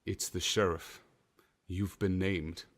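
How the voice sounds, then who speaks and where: Steadfast colonial husband, deep voice, measured formal phrasing, period audio drama. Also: male voice, little pitch movement. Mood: grave, worried. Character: steadfast, protective colonial husband, grave and plain-spoken.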